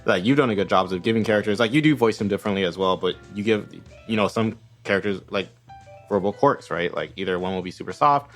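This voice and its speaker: A man with a lowish voice co-hosting a tabletop roleplay podcast. He talks fast and rambles, correcting himself mid-thought, over faint background music. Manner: rapid, rambling, self-correcting. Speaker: podcast co-host. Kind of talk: casual roleplay podcast discussion.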